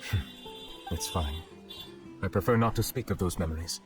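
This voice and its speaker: A man playing a solemn, reserved chief justice, a dragon in human form, speaking formally and deliberately in a video game. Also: deep voice, music in the background, lightly acted. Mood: grave, reflective. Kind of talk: video game dialogue acting.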